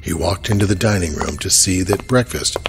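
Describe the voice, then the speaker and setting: A man narrating a horror audio drama in a grave, measured storytelling manner. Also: low-pitched, vocal fry, over music.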